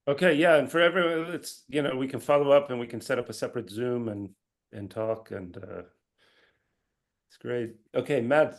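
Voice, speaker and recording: A man's voice: mid-range voice, moderate pace. A man answering comments as a presenter on a video call, speaking in an informal, conversational academic style with restarts and quoted asides.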